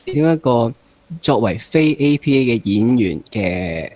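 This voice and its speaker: A man's voice: medium pitch, expressive, unhurried, a little mellow, dry recording. A man with a Hong Kong Cantonese accent hosting a casual interview, with a joking, teasing way of talking. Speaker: interview show host.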